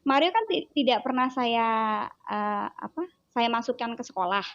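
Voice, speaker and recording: A woman with a Javanese accent tells a story in a relaxed interview chat, and she slips into her child's voice as she talks. Her voice sits a bit high. The recording sounds dull and lo-fi. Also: moderate pace. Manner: animated storyteller who acts out her child's words. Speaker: child psychologist guest.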